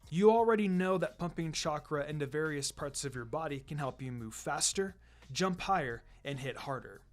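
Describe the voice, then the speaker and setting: A man voicing a calm, firm, encouraging adult ninja teacher with a measured, instructive delivery in a story reading. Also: on the high side, lightly acted. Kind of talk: fan fiction reading with character voices. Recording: music behind the voice.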